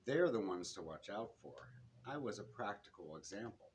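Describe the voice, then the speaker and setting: A man reading his own detective novel to listeners, with expressive narration and the odd stumble. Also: on the low side, moderate pace. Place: public reading in a bookstore. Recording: noisy live room recording.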